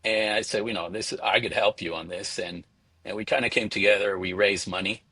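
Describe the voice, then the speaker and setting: A middle-aged man from Venezuela answering interview questions conversationally, in a halting way, repeating words as he thinks. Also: fairly low pitch, steady tone, pushed and bright, husky, no echo. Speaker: interview guest, spirits entrepreneur.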